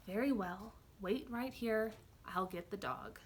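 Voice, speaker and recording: A woman reading a storybook aloud, voicing an eager-to-please male animal shelter keeper in a slightly lowered voice. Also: unhurried, breathy edge. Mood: apologetic.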